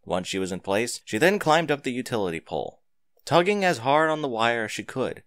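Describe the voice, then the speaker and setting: A man's voice: mid-range voice, very animated, brisk, fairly bright tone, no echo. A man reading scripted fiction aloud as a dramatic narrator, close to the microphone.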